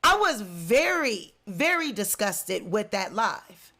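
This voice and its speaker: A woman's voice: mid-range voice, big pitch swings, slow pace, bright, forceful tone. A congested woman with the flu giving indignant, emphatic celebrity commentary in a vlog. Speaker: commentary vlogger.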